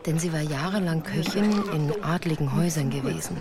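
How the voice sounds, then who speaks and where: An actress playing a grown woman who recounts a harsh childhood in measured, composed radio play narration. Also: low voice, a crowd in the background. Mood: measured, reflective. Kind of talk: literary first-person radio play narration.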